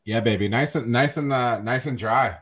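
A man's voice: medium pitch, normal speed, a bit bright. A middle-aged man hosting a podcast, talking casually and thinking aloud, often repeating words. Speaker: podcast host. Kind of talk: casual chat.

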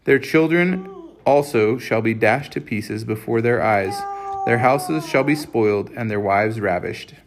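A man's voice: mid-range voice, measured pace, noisy background. Man reading scripture aloud. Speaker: reader leading a family scripture reading. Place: home recording with family.

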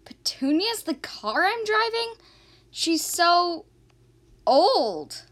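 A woman's voice: very high-pitched, sing-song, extremely slow, a bit bright, full character voice. A woman playing an impulsive, hot-tempered female ex-convict in an amateur radio drama, emotional and exclamatory. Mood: doubtful, awkward.

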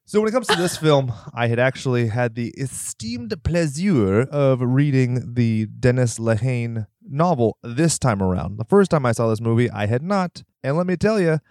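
A man's voice: medium pitch, lively intonation, a little mellow, no room sound, studio quality. Jokey man hosting a podcast. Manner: jokey, playful, quick asides. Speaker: podcast host. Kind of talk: casual podcast chat.

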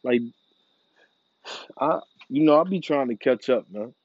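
A man's voice: medium pitch, expressive, unhurried, no room sound, highs cut off. A man in a casual, rambling monologue, laid-back and profane, full of slang. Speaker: vlogger. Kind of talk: casual monologue about smoking.

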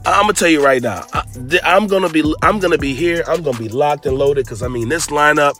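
A man with a cold-roughened, raspy voice hosting a casual basketball podcast, talking loose and hyped with plenty of slang. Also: a little high, brisk, fairly bright tone, soft background music. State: hoarse from a cold. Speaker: podcast host. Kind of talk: casual sports podcast talk.

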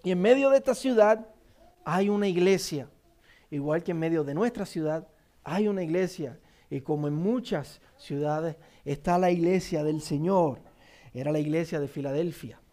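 Male pastor, Puerto Rican Spanish accent, high-pitched, emphatic sermon delivery. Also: moderate pace. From Puerto Rico. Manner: emphatic preaching, repeats key phrases, drops low and soft in prayer. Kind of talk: sermon with scripture reading and prayer.

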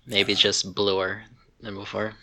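A man with a lowish but very sharp, bright voice. He speaks casually as a podcast co-host, dropping brief, matter-of-fact opinions. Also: flat delivery, slow. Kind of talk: casual movie discussion.

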